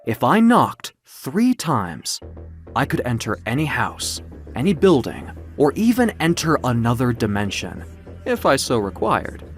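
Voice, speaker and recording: A man playing a proud mythic Greek being who recounts his quests in a grandiose, measured way for an audio drama. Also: mid-range voice, huge pitch swings, soft background music. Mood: grand, solemn. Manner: grandiose, solemn, measured storytelling.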